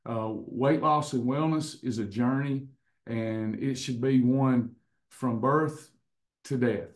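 A hoarse man in his fifties hosting a casual online recipe and wellness class over a webcam, chatty, with frequent restarts. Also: mid-range voice, fairly even intonation, slow. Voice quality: slightly hoarse, clears throat. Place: indoors in front of a printed backdrop. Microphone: webcam on a video call.

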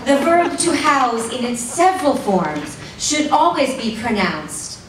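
A woman's voice: on the high side, big pitch swings. Theatrical woman reading on an echoey stage. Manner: theatrical, mock-indignant, drawn-out pronunciations. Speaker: stage performer reading letters. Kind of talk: dramatic reading of letters on stage. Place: large event studio stage with audience. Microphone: stand mic, recorded from far camera. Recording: echoey hall, distant mic, room noise.

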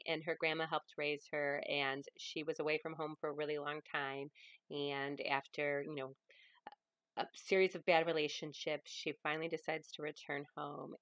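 A woman's voice: low voice, a bit bright, no echo. A woman in her thirties, an author, casually introducing a reading in a self-deprecating, giggly way from her living room. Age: 30s. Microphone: webcam or laptop mic at arm's length.

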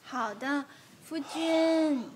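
A woman's voice: high-pitched, very slow, slight background noise. A woman voicing a coy teenage concubine who is sweet, wheedling and playfully scheming, in an audio drama. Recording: background music under the voice.

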